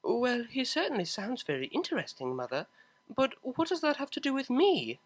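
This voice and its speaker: Refined young mare cellist for story reading, high-pitched, prim, indignant when flustered male performer. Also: huge pitch swings, normal speed, heavily acted. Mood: flustered, nervous.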